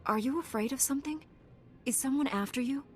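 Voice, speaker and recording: An actress playing a noble, resolute knight in a video game, speaking formally and solemnly. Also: female voice, high-pitched, a little fast, faint music behind, close to an everyday voice. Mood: grave, probing.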